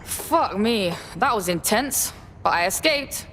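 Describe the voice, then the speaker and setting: A woman's voice: mid-range voice, lively intonation, slow pace, background noise. An actress playing a fiery, streetwise female resistance operative in a video game, blunt and slangy. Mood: rattled, cheeky. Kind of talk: video game cutscene acting.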